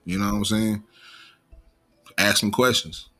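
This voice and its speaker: Male commentary host, American, lowish pitch with a sharp edge, slight stutter, casual profane street slang, unscripted. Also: unhurried. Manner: imperfect pronunciation of some words.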